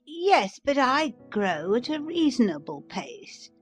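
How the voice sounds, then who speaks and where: A woman voicing a sulky little dormouse in a storybook, complaining slowly. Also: high-pitched, very animated, breathy edge, faint music behind, full character voice. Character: sulky sleepy small dormouse.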